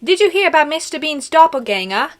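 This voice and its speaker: A woman voicing an excitable, starstruck British schoolgirl, exclaiming in an exaggerated put-on accent. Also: high voice, fast, voice pushed up. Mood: excited.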